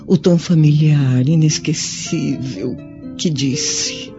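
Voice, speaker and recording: An actress with a Brazilian accent playing a dying, remorseful Roman widow in a radio drama, speaking formally and sorrowfully. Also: female voice, sits low. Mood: anguished, reverent. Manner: slow, formal, sorrowful, theatrical. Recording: dull lo-fi audio with music behind.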